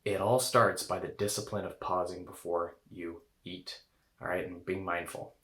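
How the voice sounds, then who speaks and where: A man's voice: low-pitched, level tone, moderate pace, pushed and bright. A man hosting and coaching on eating habits, talking loosely with fillers and restarts. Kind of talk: casual coaching talk to camera.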